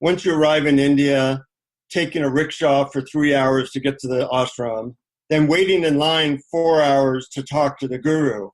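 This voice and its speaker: A man's voice: medium pitch, steady tone, normal speed, poor audio. Middle-aged man telling personal stories. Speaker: interview guest, author. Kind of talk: conversational interview, personal anecdotes.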